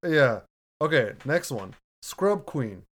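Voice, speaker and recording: A man with a deep voice co-hosts a relaxed podcast from home. He reads listener reviews aloud in an easygoing way, often laughing and restarting his words. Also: slow pace. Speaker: podcast co-host. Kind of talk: casual chat reading listener reviews. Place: home room, recording remotely.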